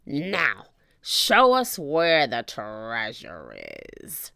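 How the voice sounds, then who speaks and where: A woman's voice: extremely low pitch, huge pitch swings, drawn-out words, pushed and bright, smooth, no room sound, studio quality, exaggerated voice. A woman voicing a horrible, bullying pirate captain in a children's storybook, growling and roaring orders in pirate talk. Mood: gruff, gloating.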